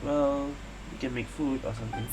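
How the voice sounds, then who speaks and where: Very low-voiced woman gamer, casual. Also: fairly even intonation, moderate pace, over loud music. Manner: muttering reactions, thinking aloud. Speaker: gamer playing and reacting. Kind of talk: casual game commentary. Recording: noisy home mic with hum.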